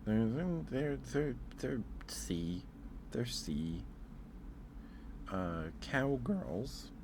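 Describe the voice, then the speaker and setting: A man's voice: mid-range voice, lively intonation, very slow, background noise. A man chatting casually with livestream viewers as host, musing aloud in an informal, hesitant way.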